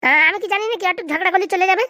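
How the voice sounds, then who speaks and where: A woman voicing a sharp-tongued grown daughter in a comedy cartoon, sarcastic and nagging. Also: very high voice, very fast, no room sound, studio quality. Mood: exasperated.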